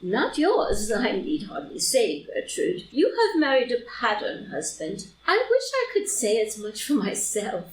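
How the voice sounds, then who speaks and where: A woman's voice: a little high, huge pitch swings, measured pace, hardly any pauses. A woman playing an elderly, genteel society lady who rambles confidentially and is easily scandalised, in a live reading of a period comedy. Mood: fussily indignant. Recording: roomy, distant mic, faint noise.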